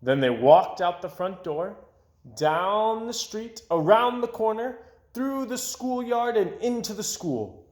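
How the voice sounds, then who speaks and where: A man reading a children's picture book aloud as an animated storyteller. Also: high voice, takes it slowly. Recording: roomy, echoing sound.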